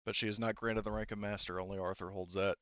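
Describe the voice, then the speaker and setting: A man's voice: lowish voice, level tone, fast talker, a bit bright, clear, resonant tone, no room sound, highs cut off. A man running a tabletop game in casual talk, narrating and explaining rules, often rephrasing as he goes. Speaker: game master.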